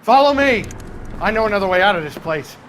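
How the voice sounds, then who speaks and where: An actor playing a cowardly, desperate crook under arrest, pleading in a video game with music behind him. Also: male voice, sits high, expressive, brisk, background noise. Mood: frightened.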